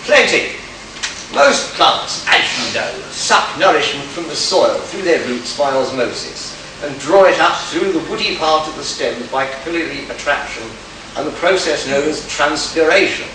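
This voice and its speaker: A man playing an arrogant, excitable genius botanist in a pulp science fiction radio play, lecturing in a pompous, condescending way. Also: fairly high pitch, normal speed, close to an everyday voice. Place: live convention performance, recorded from afar. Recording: distant echoey room, clearly noisy.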